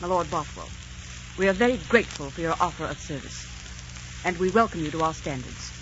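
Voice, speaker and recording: Actress playing a proud, imperious young queen, animated, muffled. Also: fairly low pitch, brisk, close to an everyday voice. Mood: regal. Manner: regal, formal, commanding. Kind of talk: 1940s radio acting. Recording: hissy, dull 1940s radio recording.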